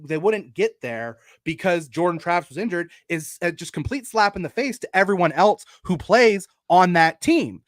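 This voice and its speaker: Man, higher voice, sports podcast co-host, emphatic and argumentative debate style, casual swearing, dry room sound. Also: no echo. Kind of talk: opinionated college football debate.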